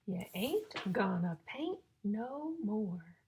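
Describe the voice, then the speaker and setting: A woman reading a picture book aloud to young children in a sing-song, exaggerated way, pausing to ask and explain like a teacher. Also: mid-range voice, very animated, darkish tone, slight background noise. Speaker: children's story-time reader and teacher.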